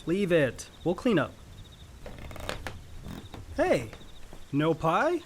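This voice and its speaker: Lively young man, teasing and easygoing. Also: fairly high pitch, drawn-out words, slight background noise, heavily acted. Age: young adult. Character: teasing, easygoing grown son and loyal brother. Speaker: actor. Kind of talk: naturalistic radio drama dialogue.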